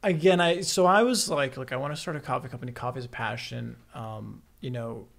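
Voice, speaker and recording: A man's voice: mid-range voice, brisk, vocal fry, fairly bright tone. A young man from Florida, a guest on a podcast, talking casually in an earnest, laid-back way. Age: young adult. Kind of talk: casual chat. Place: podcast studio.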